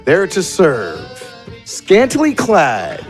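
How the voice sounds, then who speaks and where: A man narrating a tabletop game as game master, searching for words in drawn-out descriptive phrases. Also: sits high, wildly swooping pitch, faint music behind.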